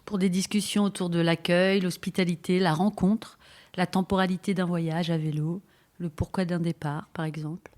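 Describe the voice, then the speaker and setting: Lively woman presenting a radio project. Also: on the low side, moderate pace. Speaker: co-host of a bicycle radio project.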